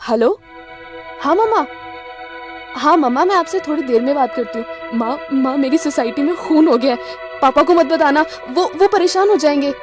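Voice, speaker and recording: A woman's voice: high-pitched, animated, moderate pace. A woman with an Indian accent playing an anxious young female witness who hesitates and repeats words in a radio drama. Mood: nervous. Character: frightened young female witness, a call centre worker. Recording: music under the voice.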